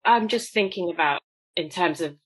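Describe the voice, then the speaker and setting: A woman from the UK co-hosting a casual interview, reflective and measured. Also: lowish voice, slightly rough, dry recording. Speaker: podcast co-host.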